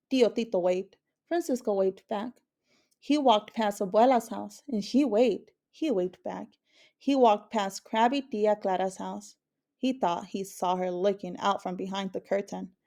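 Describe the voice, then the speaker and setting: A woman's voice: medium pitch, mellow. A woman reading a children's picture book aloud as a storyteller. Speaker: story reader.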